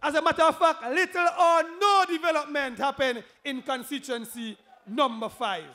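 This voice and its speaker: A man with a Kittitian accent giving an emphatic, rousing political rally speech as a candidate, repeating phrases and raising them to shouts. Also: extremely high pitch, fairly even intonation, moderate pace.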